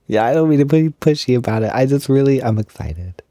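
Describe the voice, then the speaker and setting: A man playing an excited, doting, gentle young roommate in an intimate roleplay audio, soft-spoken and breathy, hesitant but gushing and reassuring. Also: medium pitch, fairly quick, no echo, clean studio sound, lightly acted. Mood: eager, coaxing.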